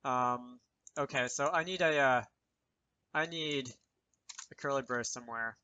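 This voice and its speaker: A man's voice: medium pitch, unhurried, bright, forceful tone, clean tone, muffled recording. A man presenting an informal programming tutorial, thinking aloud with self-deprecating asides as he explains. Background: keyboard typing and mouse clicks.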